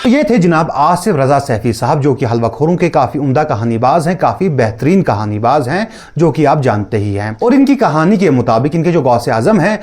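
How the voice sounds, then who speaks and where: A man's voice: on the high side, big pitch swings, brisk, few pauses, darkish tone. A man hosting a commentary video in a casual, teasing and sarcastic way. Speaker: host of a video commentary channel. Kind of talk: casual mocking commentary on preacher clips.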